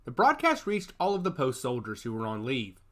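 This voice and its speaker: A man's voice: mid-range voice, wildly swooping pitch, brisk. A man reading scripted fiction as a narrator in a dramatic storytelling style. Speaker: audiobook narrator.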